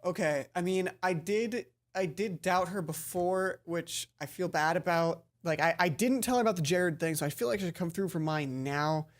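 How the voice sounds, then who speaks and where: A woman hosting a let's play, reading a visual novel aloud and commenting casually, expressive, stumbling now and then and swearing. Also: on the low side, moderate pace, hardly any pauses. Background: game music.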